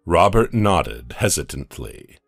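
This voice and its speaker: A man narrating a horror audio comic in a slow, deliberate, dramatic way. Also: rumbling low, very animated, gravelly, husky, dry recording, clean studio sound, soft background music.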